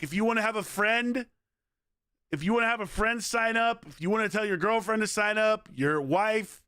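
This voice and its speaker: Hoarse, punchy male streamer. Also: high voice, no room sound, clean studio sound. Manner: energetic, rambling, pleading with viewers. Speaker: game streamer. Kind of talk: casual livestream chat.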